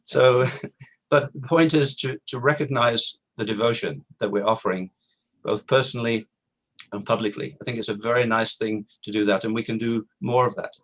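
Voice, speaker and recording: A man's voice: mid-range voice, moderate pace, a bit bright, poor audio. A middle-aged man giving an informal talk at an online community event, reflective and earnest, repeating points for emphasis. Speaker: guest speaker.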